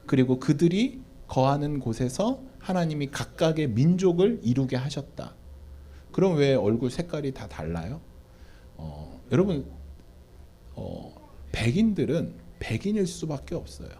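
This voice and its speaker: A man's voice: fairly high pitch, sing-song, unhurried, dark tone, husky. A man who is a pastor, answering questions at church in a preaching style, often addressing the audience and answering his own questions.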